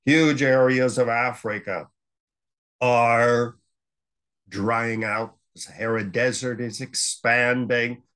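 An older man giving a library slide lecture on world affairs, speaking in an unhurried, emphatic way with long pauses. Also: mid-range voice, fairly even intonation, fairly bright tone, clean tone.

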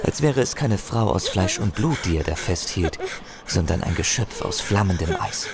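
A man's voice: low voice, lively intonation, moderate pace, a loud crowd. A man narrating a fantasy audio drama as a grave, intense storyteller, with music and effects behind him. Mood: grave, dramatic.

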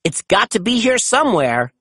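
A reader as an upbeat, high-voiced boy hero. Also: big pitch swings, normal speed, husky, no room sound, exaggerated voice. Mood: surprised, puzzled. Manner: bright exclamations, encouraging. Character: upbeat heroic boy leader of rescue pups. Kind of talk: children's storybook character reading.

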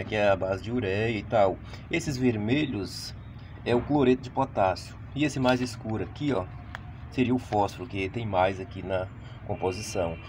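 A man hosting a casual gardening vlog, chatting and explaining plant care outdoors while he films on a phone. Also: lowish voice. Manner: chatty, explaining, often asks "entendeu, gente?". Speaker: channel host. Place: outdoor paved yard with potted plants. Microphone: phone camera held close, filming plants. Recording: phone recording with loud street noise.

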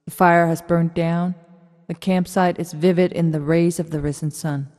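Mellow, echoing actress as female drama narrator. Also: fairly low pitch, flat delivery, normal speed, natural voice. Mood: calm. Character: female narrator reading stage directions, calm observer. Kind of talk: scripted radio drama narration. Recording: long reverberant hall echo.

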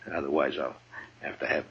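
An actor playing a tough, weary, wry frontier lawman, gruff and dry, in 1950s radio western style. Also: a bass voice, steady tone, unhurried, a bit bright, full character voice. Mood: gentle, amused. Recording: dull 1950s radio recording.

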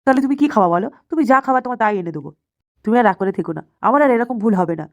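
A woman's voice: a little high, expressive, racing, a little mellow. A woman voicing a sly old grandfather who coaxes and pleads with his lover in an amateur comedy cartoon. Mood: coaxing. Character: wheedling, cheating old grandfather placating his secret lover.

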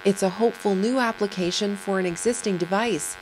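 A woman's voice: medium pitch, a little fast, very noisy. A woman narrating a news report from a script.

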